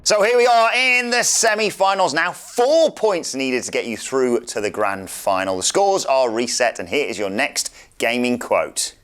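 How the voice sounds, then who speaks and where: Man, game show host, theatrical, animated, deliberate pacing. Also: mid-range voice, clean tone. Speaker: quiz host.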